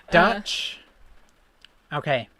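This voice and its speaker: A man co-hosts a relaxed live stream and reads out viewer comments. He sounds cheerful and laughs often, and the sound is dry and close. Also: fairly high pitch, no room sound. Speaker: co-host reading viewer comments. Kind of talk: casual live-stream chat.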